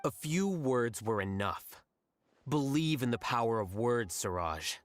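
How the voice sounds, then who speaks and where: An actor playing a rational, blunt young scholar in a video game, speaking in a measured, detached, matter-of-fact way. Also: male voice, mid-range voice, big pitch swings, faint music behind. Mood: detached, explanatory. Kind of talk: English video game voice acting.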